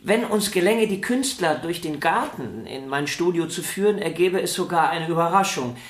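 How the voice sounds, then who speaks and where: An actor playing a vain, stubborn star violinist in a German radio play, arguing in a self-important, theatrical way. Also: male voice, a little high, animated, normal speed, natural voice. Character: vain, stubborn, celebrated male violinist arguing with his sister. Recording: roomy indoor echo.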